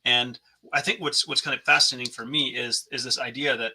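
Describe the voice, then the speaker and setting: A man's voice: medium pitch, steady tone, fairly quick, bright, forceful tone, breathy edge. A man hosting a podcast interview, talking in a thoughtful, searching way with frequent restarts. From North America. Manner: thoughtful, many restarts and fillers. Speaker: podcast host. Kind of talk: conversational interview.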